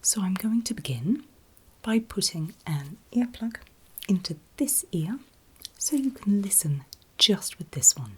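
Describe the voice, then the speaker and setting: A woman whispering very gently and reassuringly in a breathy, unvoiced ASMR style, as a medical examiner in a roleplay, close to the microphone. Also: mid-range voice, big pitch swings, unhurried. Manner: gentle, reassuring, checking in often. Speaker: ASMR performer playing an ear examiner.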